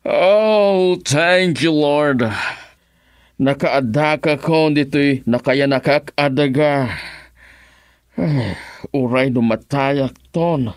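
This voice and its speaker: Man acting slow, hesitant old Ilocano man. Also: a little high, no echo, studio quality. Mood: weary, grateful. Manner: hesitant, repeats words, mixes in English. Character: old male returnee from Hawaii, polite, wistful. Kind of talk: radio drama acting.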